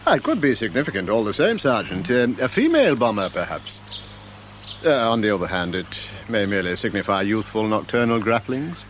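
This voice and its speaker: A man's voice: lowish voice, sing-song, a little fast, slight background noise, rough recording. An actor playing a genteel, curious British townsman in a radio drama, polite, hesitant and drily witty. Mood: droll.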